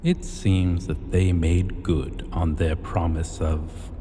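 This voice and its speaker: Man voicing an arrogant, very slow, cavernous dragon king. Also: deep voice, little pitch movement. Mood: smug. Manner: pompous, deliberate, archaic formal diction. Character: arrogant, possessive male dragon king, ancient hoard-keeper. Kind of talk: scripted fantasy ASMR roleplay. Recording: long cavernous reverb, faint noise.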